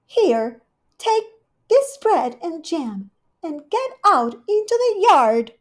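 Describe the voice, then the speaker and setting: A woman's voice: very high voice, big pitch swings, slow, pitched above the performer's own voice, full character voice. A woman doing a prim, fussing lady cat. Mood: irritated. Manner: solicitous and prim, sharp when annoyed. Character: fussy, kindly female cat hostess, quick-tempered.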